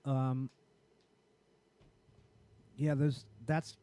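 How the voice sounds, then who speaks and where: A man with a raspy voice in casual, profane podcast chat, rambling and often restarting his sentences. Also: mid-range voice, level tone, very slow, warm tone. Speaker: podcast co-host.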